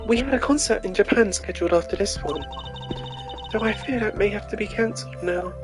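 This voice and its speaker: A woman's voice: medium pitch, a little fast, lightly acted. Wistful woman singer for a game amateur female voice actor: composed, reflective, formal phrasing, music in the background. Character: serene, wistful foreign woman singer, mysterious and gracious. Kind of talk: amateur video game character voice-over.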